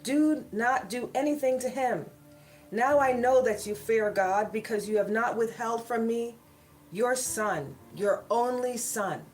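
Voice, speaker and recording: Woman reading as an emphatic, raised-pitch angel from heaven. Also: measured pace, close to an everyday voice. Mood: urgent, commanding. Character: commanding male angel calling from heaven. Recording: background music behind the voice.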